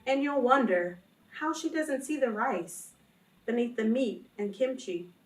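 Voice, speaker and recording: Higher-voiced woman reading a children's story. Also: animated, unhurried. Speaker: children's read-along host and storyteller. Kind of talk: expressive picture-book read-aloud and talk to young children. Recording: roomy, distant microphone, music behind.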